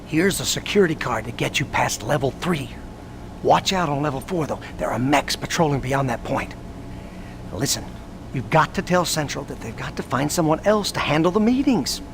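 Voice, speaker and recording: An actor playing a nervous middle-aged scientist informant, speaking with anxious urgency in a game cutscene. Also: male voice, on the high side, animated, normal speed. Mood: nervous, urgent. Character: nervous male scientist informant fearing exposure. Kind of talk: scripted game cutscene acting. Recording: noisy old game video audio.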